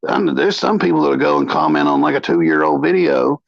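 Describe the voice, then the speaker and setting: A man's voice: a little fast, creaky, no room sound. A man co-hosting a live stream, chatting loosely and dropping into exaggerated country voices. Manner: slips into a high exaggerated country drawl for impressions, otherwise low and mumbled. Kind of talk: casual live-stream chat with put-on voices. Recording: dull lo-fi dry sound.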